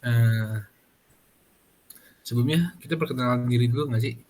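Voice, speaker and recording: A man's voice: mid-range voice, moderate pace, darkish tone. A young adult man, hosting a casual student podcast in an informal, slangy way. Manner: informal, slangy, frequent "gitu kan" tags.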